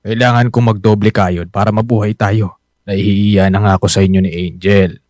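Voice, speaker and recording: An actor playing a weary, self-blaming middle-aged husband, a poor driver, apologetic and earnest, with a Manila Filipino accent in a radio drama. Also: male voice, on the low side, level tone, fairly quick, a little mellow, dry recording, studio quality, natural voice. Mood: ashamed, downcast.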